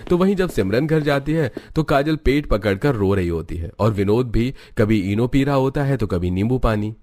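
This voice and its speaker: A man's voice: medium pitch, animated, normal speed, a little mellow. A man narrating a scripted story as a storyteller. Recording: music under the narration.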